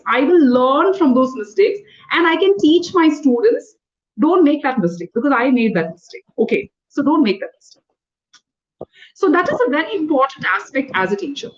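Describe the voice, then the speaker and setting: A high-pitched woman coaches a learner through a one-on-one lesson. She is patient and corrective and spells words out letter by letter. The sound is dull and lo-fi, like call audio. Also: big pitch swings, normal speed. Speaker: English trainer.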